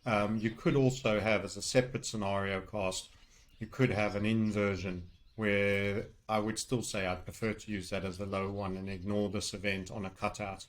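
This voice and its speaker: A man's voice: lowish voice, level tone, normal speed. A man talking through technical analysis off the cuff as a trading educator, rambling and heavy on jargon with colourful asides. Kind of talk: unscripted technical chart commentary.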